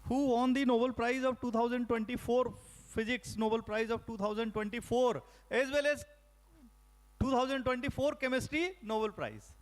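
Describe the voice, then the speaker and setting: A middle-aged man with an Indian English accent, lecturing teachers on a training course and pausing often with fillers and restarts. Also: very high voice, fairly even intonation. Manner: halting, many fillers and restarts. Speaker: faculty trainer.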